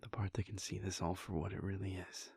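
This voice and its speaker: Male performer as a vampire prince, earnest and intimate; gravelly, level delivery, dry close sound. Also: low voice, flat delivery, no echo, heavily acted. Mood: reflective. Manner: hushed, halting and intimate, with soft sighs and pauses. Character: vampire prince, adult man, earnest and devoted. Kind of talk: audio roleplay aimed at the listener.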